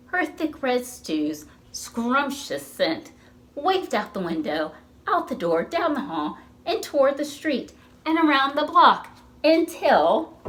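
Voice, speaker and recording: A woman's voice: high-pitched, a little hiss or hum. A woman reading a picture book aloud to young children, expressively and with questions to the listeners. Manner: animated, slow, asks the listeners questions. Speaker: children's storytime reader at a library.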